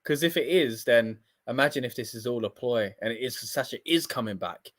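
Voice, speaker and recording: A man co-hosting a wrestling livestream, chatting casually and getting excited in bursts. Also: medium pitch, brisk, no room sound, clean studio sound. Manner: excitable, talks in bursts with restarts.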